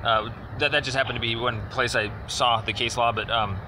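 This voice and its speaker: A man speaking as a caller making an informal inquiry, explaining at length in a halting, rambling, wordy way. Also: medium pitch, fairly even intonation. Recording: noisy phone call with outdoor traffic.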